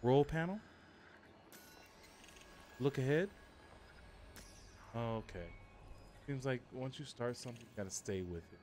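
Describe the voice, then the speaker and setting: A man with a mid-pitched voice chats casually on a game livestream. He thinks aloud haltingly and gives short spoken commands. There is clear noise in the background. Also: lively intonation, darkish tone.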